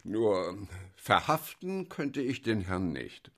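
An actor playing a courteous, smug English consul in a radio play. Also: male voice, fairly low pitch, sing-song, takes it slowly, fairly bright tone, exaggerated voice. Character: polite unhurried male English consul.

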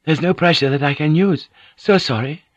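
Male narrator as Japanese noblewoman, historical audiobook: raised pitch, formal, restrained, polite. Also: normal speed, dry recording, dull audio, natural voice. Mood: polite, regretful.

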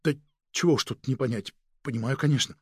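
A man's voice: medium pitch, fast, husky, dry recording, studio quality, close to an everyday voice. A man voicing a cowed, shifty police agent in a crime audiobook, hesitant and muttering. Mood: uneasy, resigned. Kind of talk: dramatic audiobook character voice.

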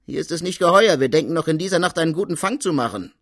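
A man voicing a brisk police lieutenant giving warnings, in a dramatic audiobook. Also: on the high side, animated, no room sound, clean studio sound, voice pushed up. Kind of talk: dramatic audiobook character reading.

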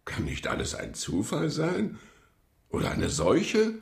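A man's voice: a little high, huge pitch swings, takes it slowly, vocal fry, exaggerated voice. A man playing a condescending, dismissive police president with a pompous, officious manner in a radio crime drama. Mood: impatient.

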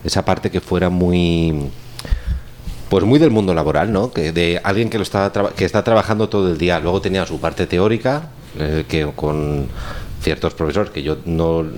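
A man with a Spanish accent from Spain talks casually as a guest instructor, halting and often digressing or correcting himself. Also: low voice, darkish tone, slight background noise. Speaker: guest, course instructor and audio documentary maker. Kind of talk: casual round-table talk.

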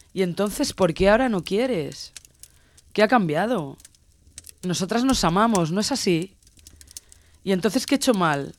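Woman acting possessive abusive lover, insistent and pleading, medium pitch, audio drama, background noise. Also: close to an everyday voice. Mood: remorseful, pleading.

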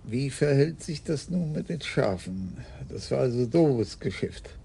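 An actor playing a weary, dry-humored senior police commissioner in a 1980s radio drama. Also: male voice, mid-range voice, expressive, unhurried, creaky, dark tone, a little hiss or hum. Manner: dry, sighing, clipped.

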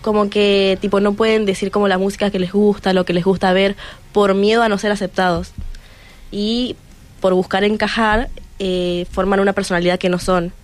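A woman's voice: medium pitch, flat delivery, normal speed. A teenage girl from Venezuela, now speaking with an Argentine accent, answering questions hesitantly on the radio. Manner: hesitant, lots of fillers. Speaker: student guest. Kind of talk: casual interview answers.

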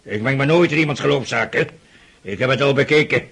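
Older aristocratic father, gravelly and husky, brusque, mocking, impatient, for period radio drama. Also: mid-range voice, brisk, a bit bright. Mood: gruff, dismissive. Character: stern, sarcastic aristocratic father drilling his daughter in mathematics. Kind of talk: Dutch radio drama acting.

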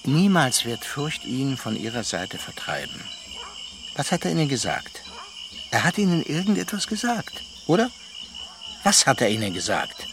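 An earnest, troubled man in a radio drama, speaking deliberately at a medium pitch. Clear noise and chirping insects sit behind his reflective voice. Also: very animated. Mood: earnest, probing. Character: earnest, troubled, reflective male narrator and confidant. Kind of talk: radio drama acting and narration.

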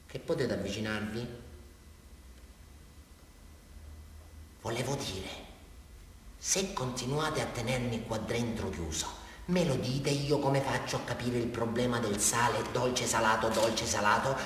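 A man's voice: mid-range voice, normal speed, fairly bright tone, slight background noise, close to an everyday voice. An actor playing a rambling, unhinged man with a southern Italian accent confessing a crime in a theatrical radio monologue. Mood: hushed, confiding. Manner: rambling, repetitive, addressing a police officer, swinging from muttering to outbursts. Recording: very echoey hall, far from microphone.

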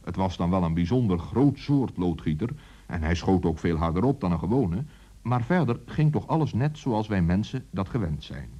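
A man narrating a children's radio story in a lively, expressive storytelling style, with a husky voice. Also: sits low, normal speed. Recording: muffled old radio recording.

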